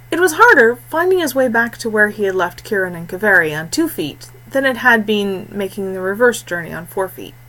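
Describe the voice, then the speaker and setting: A woman narrating a scripted fantasy story in a measured, expressive way with deliberate phrasing. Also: mid-range voice, rough recording. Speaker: audiobook narrator.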